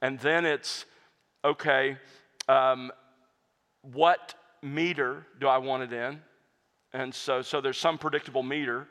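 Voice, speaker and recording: Pastor fielding questions: man, earnest and reflective, bright voice, long hall reverb. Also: mid-range voice, fairly even intonation, slow, pauses often. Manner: earnest, reflective, with frequent restarts. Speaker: pastor and hymn writer answering audience questions. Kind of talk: conversational Q&A talk.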